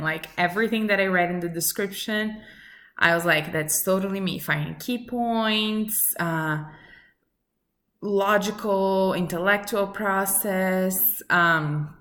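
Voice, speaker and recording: Woman, 30s, unhurried and earnest student intro, lowish pitch, bright tone, lots of "um"s. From Brazil. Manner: earnest and measured, with many pauses and fillers. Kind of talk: recorded self-introduction for a class.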